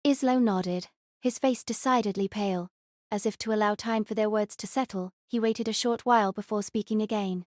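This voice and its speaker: Female audiobook narrator, mid-pitched, reading a fantasy novel in a dry, close recording. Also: steady tone, normal speed, darkish tone, dry recording.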